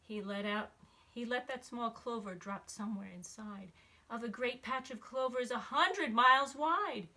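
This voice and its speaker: A woman reads a children's story aloud with plenty of expression. Her voice is bright and fairly high. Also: unhurried. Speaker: storybook reader, school staff member.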